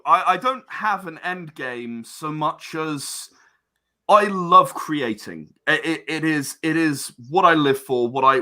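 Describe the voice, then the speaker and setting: A man being interviewed in a casual chat, speaking earnestly and often haltingly, repeating words as he gathers his thoughts. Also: fairly high pitch, sharp tone. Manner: earnest about creative work. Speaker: interview guest, game and audio drama creator.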